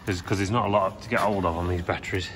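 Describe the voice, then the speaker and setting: A man's voice: deep voice, steady tone, quick pace, fairly bright tone, a little hiss or hum. A middle-aged English man vlogging, talking chattily and matter-of-factly through each step while holding the camera at arm's length. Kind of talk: casual vlog narration. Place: large empty derelict warehouse, indoors. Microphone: handheld camera at arm's length. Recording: long echo in a big empty warehouse.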